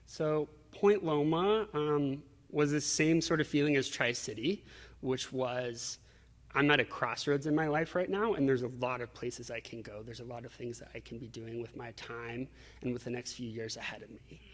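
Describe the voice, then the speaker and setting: A man's voice: mid-range voice, moderate pace. A man being interviewed, telling personal stories in a reflective, casual way with acted-out asides. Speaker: interview guest. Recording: reverberant hall-like echo.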